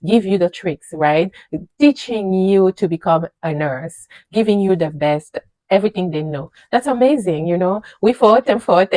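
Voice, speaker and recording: A woman in her thirties whose first language is French, working as a registered nurse and telling her journey in a casual vlog, animated and emphatic, with many fillers and repeated words. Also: medium pitch, dry recording, low-quality recording. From French-speaking country, immigrated to the USA. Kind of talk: casual personal storytelling to camera.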